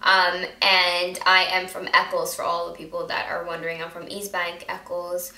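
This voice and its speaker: A woman's voice: lowish voice, completely flat delivery, normal speed, sharp tone. A young woman from Guyana with a mostly American accent that carries traces of Guyanese, chatting in a rambling vlog style full of fillers and restarts. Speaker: beauty vlogger. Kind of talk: casual vlog chat. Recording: echoey room.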